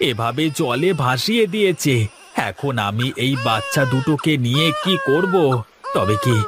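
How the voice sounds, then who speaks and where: A man's voice: medium pitch, background noise, lightly acted. A man playing a lonely, superstitious poor boatman in a melodramatic, exclamatory cartoon style, often repeating himself. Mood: moved, grateful.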